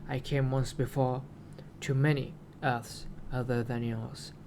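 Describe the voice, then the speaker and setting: Man voicing a slow, grandiose alien prophet. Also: medium pitch, level tone, a little mellow, a little hiss or hum, lightly acted. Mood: solemn, grandiose. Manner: grandiose, archaic, halting proclamations. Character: solemn male alien prophet preaching archaic doom.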